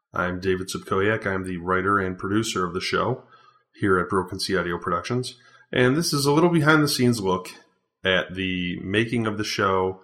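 A man who writes and produces the show, introducing it with relaxed talk full of ums. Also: low voice. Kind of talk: podcast introduction.

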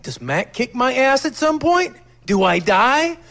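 A man in casual banter, talking in a slangy, excitable way. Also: very high-pitched, a little slow, creaky.

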